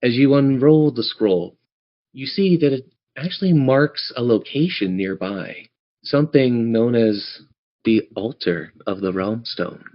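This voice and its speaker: A man's voice: mid-range voice, a little slow. A man narrating a tabletop game as game master in a casual, improvised way. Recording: dull lo-fi band-limited audio.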